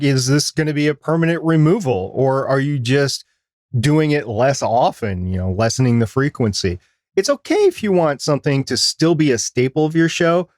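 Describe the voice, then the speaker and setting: A man's voice: medium pitch, darkish tone, dry recording, studio quality. A man co-hosting a podcast, giving semi-scripted advice with casual asides. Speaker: podcast co-host.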